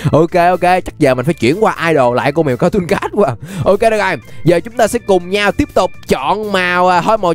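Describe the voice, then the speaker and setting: A young man with a Southern Vietnamese accent giving excited, exclamatory gameplay commentary, repeating phrases and dropping in English interjections. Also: sits high. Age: young adult. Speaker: gaming YouTuber. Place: indoor gaming room.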